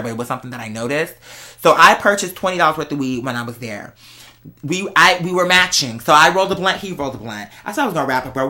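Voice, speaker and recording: A vlog host chatting casually and candidly to viewers, telling stories in an animated, slangy way. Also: mid-range voice. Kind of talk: casual confessional chat to viewers.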